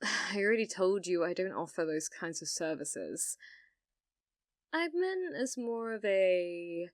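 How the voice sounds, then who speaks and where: A woman's voice: on the low side, animated, dry recording, studio quality. A woman playing a flirty, possessive yandere rental date in an ASMR roleplay, teasing and coy, coaxing the listener. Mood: playful, coaxing. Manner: teasing, coy, slow and deliberate, drops to whispers.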